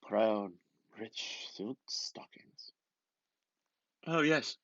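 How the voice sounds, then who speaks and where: A man reading a novel aloud with expressive, dramatic narration, musing and often dropping into a hushed murmur. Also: on the low side, drawn-out words, dry recording, in a whisper, highs cut off. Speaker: reader. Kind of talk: expressive literary audiobook narration.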